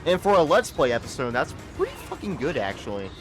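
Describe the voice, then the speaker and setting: A man's voice: on the high side, wildly swooping pitch, quick pace, music in the background. A young man chatting casually while streaming a video game, rambling and often stuttering over the starts of his sentences. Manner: rambling, repeats words when starting sentences. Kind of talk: casual live-stream chat.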